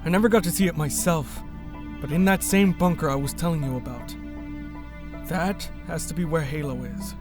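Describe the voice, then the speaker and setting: Casting: male actor as remorseful ex-cult rebel, earnest and measured, higher male register, sci-fi radio drama, music underneath. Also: natural voice. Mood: resolved, grave. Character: guilt-ridden male former cult member turned rebel, earnest. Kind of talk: sci-fi audio drama acting.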